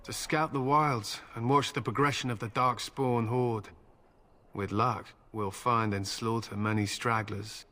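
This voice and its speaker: An actor playing a blunt, proud, hardened warrior and hound handler in a fantasy video game, speaking in stern, measured declarations. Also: male voice, mid-range voice, steady tone, sharp tone. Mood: grim, proud.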